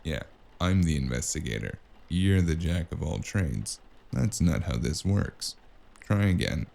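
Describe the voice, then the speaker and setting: A male actor playing a cynical, wisecracking investigator in a noir audio drama, with a gravelly voice and a dry, menacing delivery. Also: very deep voice, sing-song, unhurried, darkish tone, exaggerated voice. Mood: sardonic. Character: cynical, wisecracking hardboiled spacer investigator with magic. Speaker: voice actor playing the lead and narrator.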